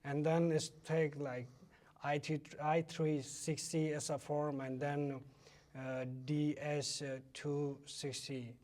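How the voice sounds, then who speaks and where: A man from Afghanistan with an Afghan accent, a native Pashto speaker, answering interview questions in halting English. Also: a little high, little pitch movement, a little mellow. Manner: halting, non-fluent English with repeated phrases. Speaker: interview guest, former military interpreter.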